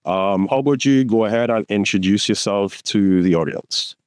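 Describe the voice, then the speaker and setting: A man's voice: on the low side, moderate pace, clear, resonant tone, no echo, clean studio sound. A man hosting a podcast, introducing the show and asking friendly, upbeat questions. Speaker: podcast host.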